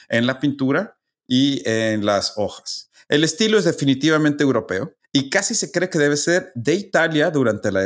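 Podcast host, man, mid-pitched, methodical explainer who restarts phrases. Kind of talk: casual explanatory podcast chat.

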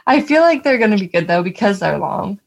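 A woman with a husky, medium-pitched voice co-hosts a podcast. She chats casually in an easygoing, giggly way. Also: big pitch swings, brisk. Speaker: podcast co-host. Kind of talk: casual chat.

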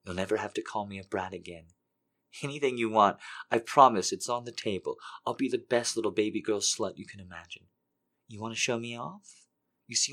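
A man voicing a needy, submissive adult woman who pleads in a soft, breathy half-whisper. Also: medium pitch, pitched above the performer's own voice, natural voice.

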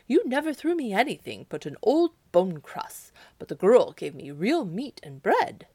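A woman's voice: medium pitch, very animated, normal speed, a little mellow, breathy edge, no room sound. A woman voicing a big, grateful guard dog in a storybook, speaking in an earnest, plainspoken way. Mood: aggrieved.

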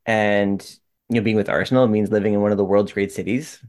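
A male interviewer with a low, gravelly voice. He talks casually and haltingly, filling gaps with "um" and "you know". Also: fairly even intonation, a little mellow. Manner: hesitant, many "um" and "you know".

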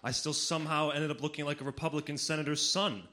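A man with a mixed international accent doing animated, conversational stand-up comedy on stage. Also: fairly high pitch, fast talker. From Austria, America and Slovenia. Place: live comedy club stage.

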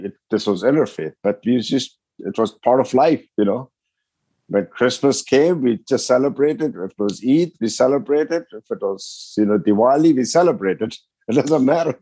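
A man's voice: lowish voice, mellow, slightly rough, dry recording. A man from Ethiopia, speaking as a guest in a podcast interview. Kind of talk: interview answers.

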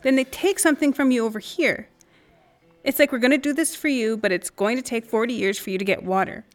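A woman's voice: a little high, a little fast, close to an everyday voice. A woman playing a candid, indignant friend in a naturalistic audio drama conversation. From Canada, Saskatchewan.

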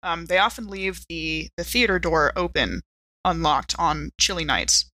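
Female tabletop player, very expressive pitch, close dry sound, casual improvised role-play. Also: measured pace, no room sound, low-quality recording.

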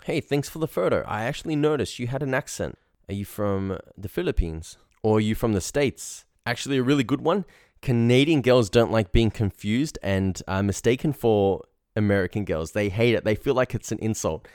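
A man's voice: fairly low pitch, expressive, normal speed, darkish tone, dry recording, clean studio sound. A man hosting as a confidence coach, giving informal instruction in a blunt, slangy way. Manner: blunt, slangy, acts out example lines. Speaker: dating confidence coach, show host.

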